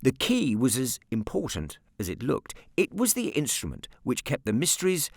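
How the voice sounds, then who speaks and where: A British man narrating a story as an audiobook reader, expressive, measured and wryly articulate. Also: medium pitch, creaky, no echo, clean studio sound.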